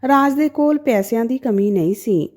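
A woman narrating a dramatic first-person story in an expressive, emotive way. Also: fairly high pitch, moderate pace.

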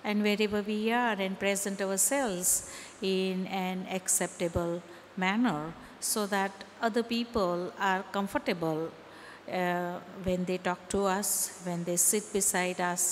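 A middle-aged woman pastor from India preaching a sermon at a pulpit, slow and deliberate, with pauses and rising emphasis. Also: medium pitch, steady tone, a little hiss or hum. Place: large church hall, at a pulpit. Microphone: stand mic at the lectern. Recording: church hall reverb with faint ambience.

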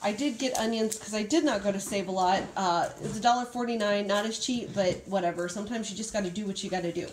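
A woman's voice: medium pitch, fast talker, hardly any pauses. A woman in her thirties, a vlogger, chatting casually and upbeat as she goes through her groceries and their prices, recorded on a handheld phone at home. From Ohio, United States. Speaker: vlogger showing a grocery haul. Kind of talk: casual chat to camera. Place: home room with groceries on a table, TV nearby. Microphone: phone camera held in hand. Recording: echoey room, background noise.

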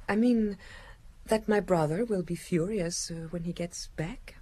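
An actress playing a coy, lonely young Danish woman in an old radio drama, breathy and hesitant. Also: fairly low pitch, measured pace, mellow. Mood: coy, confiding. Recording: old radio broadcast with background noise.

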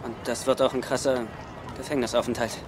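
An actor in a German film dub playing a nervous, wisecracking young treasure-hunting sidekick, sarcastic and prone to stammer. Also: male voice, medium pitch, level tone, brisk, crowd noise, noisy background, lightly acted. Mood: alarmed, flustered. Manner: sarcastic, stammering when agitated.

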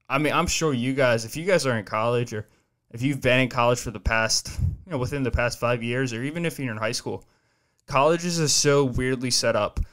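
Brief: early-20s male podcast host, loose profane rambling, smooth clear tone, close desk mic. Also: mid-range voice, normal speed, a bit bright. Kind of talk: casual solo chat. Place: small home studio room, indoors. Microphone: desk mic on boom arm, headphones on.

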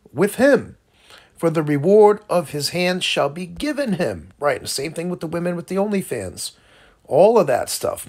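Husky man preaching in casual street talk. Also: mid-range voice. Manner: rambling, streetwise, slows to read verses aloud. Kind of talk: casual scripture teaching.

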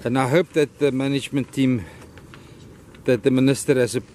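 A man's voice: mid-range voice, fairly even intonation, normal speed, a little mellow, slight background noise. A South African man with an Afrikaans accent, casually narrating a vlog while walking his dog on a beach trail, rambling and grateful. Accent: Afrikaans-accented English. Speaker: vlogger. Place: outdoors on a beach and a wooden boardwalk trail.

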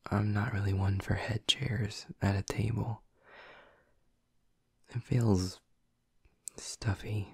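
Low, breathy, gentle vampire boyfriend, acted by a man. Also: no echo, studio quality, full character voice. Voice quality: breathy, husky, often near a whisper. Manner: gentle, hesitant, softly attentive. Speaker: audio roleplay performer. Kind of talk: intimate audio roleplay, ASMR-like.

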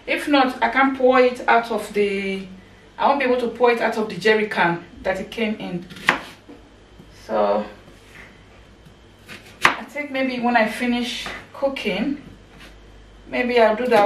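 Chatty woman cooking in an echoey kitchen. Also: fairly high pitch. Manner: chatty, talks while chopping and cooking. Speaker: cooking vlogger. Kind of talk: casual cooking narration. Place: home kitchen, indoors. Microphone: camera mic from across the kitchen. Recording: echoey kitchen, far from mic, background noise.

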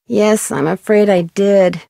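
A woman's voice: medium pitch, slow, creaky, warm tone, slight background noise. A woman playing a polite, earnest young amateur detective in a video game, speaking plainly. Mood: apologetic.

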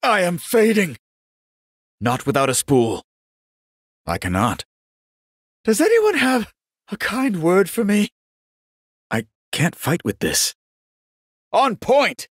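A man voicing a genial, vain old warrior monk in a video game, speaking in a measured, courtly and wryly pious manner. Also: high-pitched, huge pitch swings, breathy edge, dry recording, studio quality, full character voice. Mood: urgent. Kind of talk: scripted game character voice lines.

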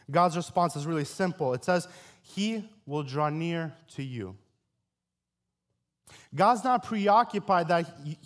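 A young man preaching a sermon in an earnest, deliberate way, addressing his listeners directly. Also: a little high. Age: young adult. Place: church stage at a pulpit, before a congregation.

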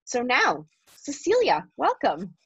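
A woman's voice: fairly high pitch, huge pitch swings, takes it slowly, husky, dry recording. Woman, event host, polished introduction, upbeat, dry close sound, slightly dull call audio. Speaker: host, arts organisation director. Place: indoor room at home. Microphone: wireless earbuds on video call.

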